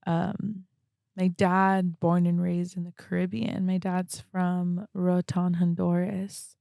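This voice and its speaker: Low, creaky young woman. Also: flat delivery, dark tone, no room sound, studio quality. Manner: halting, frequent "like" as verbal filler. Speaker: podcast guest. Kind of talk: reflective casual conversation.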